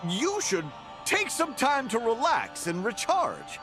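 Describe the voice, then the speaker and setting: An actor playing a demanding, patronizing company boss in a video game, with a condescending, theatrical delivery. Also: male voice, very high voice, wildly swooping pitch, slow pace, full character voice. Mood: flustered, placating. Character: demanding, patronizing male company boss who turns flustered. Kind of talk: animated video game voice acting. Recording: music playing behind the voice.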